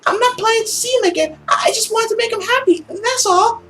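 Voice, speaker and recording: Visual novel role: meek, desperate young girl played by a man, very high falsetto, stammering pleas, faint noise. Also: brisk, pushed and bright. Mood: nervous pleading. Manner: stammering, pleading. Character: frightened pleading teenage girl, meek and desperate.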